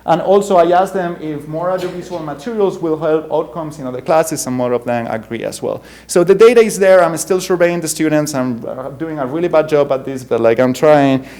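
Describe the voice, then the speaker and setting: A young man from Spain with a thick Spanish accent presents at a conference, his voice sitting somewhat high for a man. He talks in halting bursts, full of "uh" and restarts, and now and then laughs at himself as he goes through his slides. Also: darkish tone. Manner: halting, frequent fillers and self-corrections, self-deprecating chuckles. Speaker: bioengineering professor giving a keynote.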